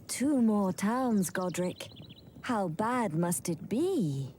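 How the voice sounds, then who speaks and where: An actress playing a cautious female advisor and diplomat, speaking softly and deliberately. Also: mid-range voice, expressive, a little hiss or hum. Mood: worried. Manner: soft, deliberate, careful. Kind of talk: video game cutscene voice acting. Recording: game audio with faint music.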